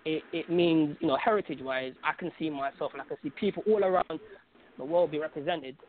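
A man's voice: on the high side, brisk. A man from Algeria chatting casually as a guest caller on a podcast, emphatic and slangy, often repeating his phrases. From Algeria, North Africa. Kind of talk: casual opinionated chat. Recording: phone-like, narrow tinny call sound.

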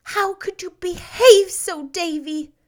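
A gentle young schoolmistress as a woman storybook reader plays her, with a high, bright voice. She corrects gravely and earnestly, more in sorrow than anger. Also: sing-song, slow, heavily acted. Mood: sorrowful reproach. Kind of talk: storybook character voice.

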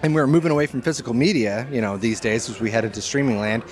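A man chatting casually as a podcast guest, telling stories in an animated, informal way. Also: medium pitch, fast. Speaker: podcast guest, co-host of a film podcast. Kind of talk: casual storytelling chat. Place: on location at a Halloween event.

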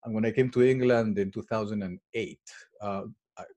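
A man's voice: lowish voice, fairly even intonation, normal speed, mellow. A middle-aged man from Peru, answering interview questions about his work in a hesitant way, with many fillers and restarts. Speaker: guest, well-being consultant and coach.

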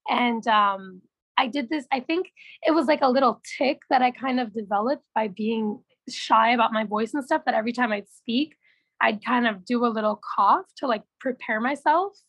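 A young woman from Canada with a naturally raspy voice, a musician guest talking casually and with animation. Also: a little high, moderate pace, no echo, low-quality recording. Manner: animated storytelling, laughs often, swears. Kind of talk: casual chat.

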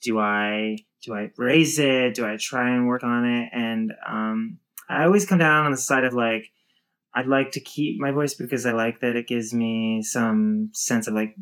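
Woman, 30s, low voice, hesitant, musing, casual chat. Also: measured pace, smooth, poor audio. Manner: hesitant, many fillers and restarts. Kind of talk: casual conversation.

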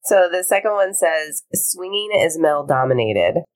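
A woman's voice: deep voice, expressive, moderate pace. A woman co-hosting a podcast in casual chat, frank and blunt, laughing often. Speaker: podcast co-host.

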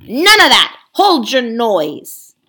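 A woman's voice: a little high, very animated, a little slow, fairly bright tone, voice pushed up, heavily acted. A woman reading aloud, voicing a scheming, domineering male ape who snarls and hisses at the other animals. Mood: snarling.

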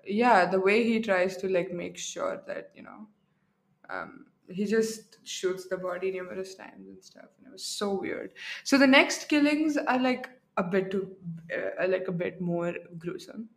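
A woman's voice: mid-range voice, a little slow. Chatty young woman recounting a crime. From India. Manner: rambling, filler-heavy, joking. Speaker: podcast co-host. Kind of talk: casual true-crime chat.

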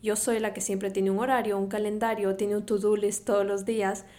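A woman's voice: medium pitch, level tone, fairly quick, mellow. A young woman with an Ecuadorian accent from Quito, hosting her own podcast in a chatty, upbeat way, laughing often and slipping English words into her talk. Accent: Ecuadorian Spanish from Quito. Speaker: podcast host and health coach. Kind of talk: solo podcast monologue.

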